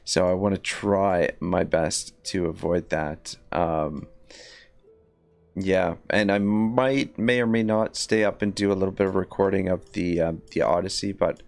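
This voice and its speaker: Low-ish gravelly guy, voice kinda scratchy, rambling through a game stream with lots of ums. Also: fairly even intonation, unhurried, soft background music. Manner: rambling, many fillers and restarts. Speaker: livestream gamer. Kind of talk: casual livestream commentary.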